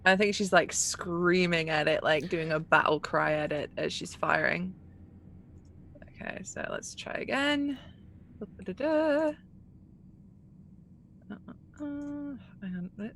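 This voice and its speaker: A woman's voice: lowish voice, slow, pauses often, fairly bright tone, slight background noise. A woman playing a tabletop role-playing game, chatting casually.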